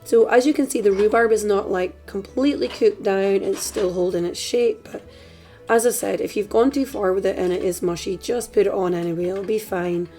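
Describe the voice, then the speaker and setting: A Scottish woman hosting a cooking tutorial in a chatty, relaxed way, her voice a little hoarse from a dry throat. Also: medium pitch, moderate pace, soft background music. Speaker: cooking channel host. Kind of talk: casual cooking tutorial voice-over.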